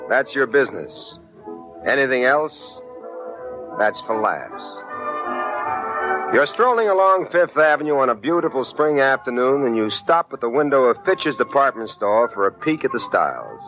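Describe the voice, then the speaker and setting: An actor playing a wry, flirtatious private detective who narrates a 1950s radio drama in a confiding way. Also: male voice, medium pitch, big pitch swings, moderate pace, over music, natural voice. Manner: wry, confiding second-person storytelling. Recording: muffled 1950s radio broadcast recording.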